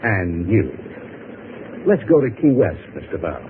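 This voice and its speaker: A man's voice: deep voice, sing-song, measured pace, narrow, tinny audio, heavily acted. Sardonic radio gunman with a swinging voice. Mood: sardonic menace. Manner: smooth-talking, mocking, threatening. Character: menacing, sardonic gunman with mocking politeness. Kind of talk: 1950s radio drama acting. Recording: noisy, band-limited vintage radio recording.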